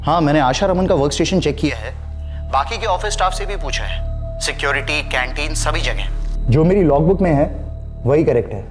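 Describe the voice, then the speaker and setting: Actor as an unbothered office guy in a crime show, slightly high male voice, faint music. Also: expressive, fairly quick, lightly acted. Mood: detached, firm. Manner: matter-of-fact, unbothered. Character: matter-of-fact, detached office official, adult man. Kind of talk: TV crime serial acting.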